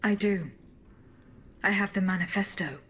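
A woman playing a composed, accusing agent in a video game, speaking in a measured, formal and blunt way. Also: medium pitch, fairly bright tone, husky. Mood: stern, confronting. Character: composed, accusing female agent confronting a general.

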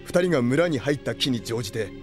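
An actor playing a grave, lordly exiled prince who leads a rebel army, speaking in solemn, measured lines in an anime audio drama. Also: male voice, mid-range voice, lively intonation, music in the background, close to an everyday voice. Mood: grave, resolute.

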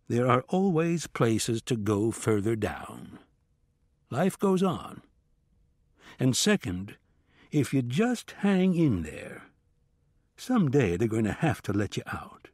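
Animated male audiobook narrator, close and dry. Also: medium pitch, slow, pauses often, no echo. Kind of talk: scripted audiobook fiction narration.

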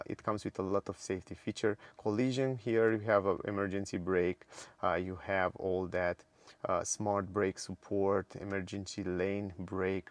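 Low-voiced man giving a car screen tour. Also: gravelly, no echo. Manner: non-native English with small grammar slips, many "uh" fillers, enthusiastic. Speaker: car reviewer. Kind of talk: walkaround car review with screen demo. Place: inside a car.

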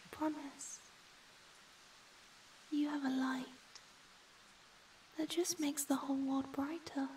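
A woman voicing a shy, gentle young ghost in a soft, breathy whisper for an ASMR comfort roleplay. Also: sits high, steady tone, distant mic, slight background noise, poor audio. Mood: tender, soothing. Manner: slow, tender, hesitant.

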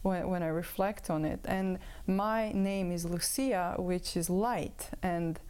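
Croatian-born woman in her 30s telling her story, hesitant, lots of ums, lower voice, lapel mic. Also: slow, a little mellow, smooth. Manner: hesitant, many fillers. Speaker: guest. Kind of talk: personal testimony. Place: room with bookshelves.

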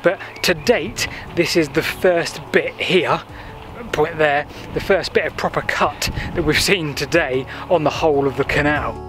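A chatty man explains old canals as he walks along them, filling pauses with thinking-aloud fillers. His voice sits a little high for a man. Faint outdoor noise runs under the handheld recording. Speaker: history vlogger. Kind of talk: walking history vlog. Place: outdoors by a canal path and beach. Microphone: handheld selfie camera at arm's length.

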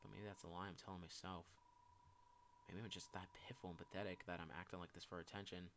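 A man's voice: lowish voice, fast, a little hiss or hum, lightly acted. A man voicing a shy, self-loathing young game character who speaks in a hesitant, subdued and apologetic way. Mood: self-doubting.

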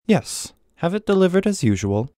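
A man's voice: sits high, big pitch swings, moderate pace, mellow, no room sound, clean studio sound. A man voicing a wealthy, curt, aloof young businessman in a visual novel game. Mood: cool, curt.

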